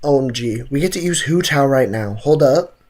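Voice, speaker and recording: A man's voice: medium pitch, moderate pace. Hyped young male game streamer. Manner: excitable, joking, trash-talking. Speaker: gaming streamer. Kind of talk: live game commentary, chatting with viewers.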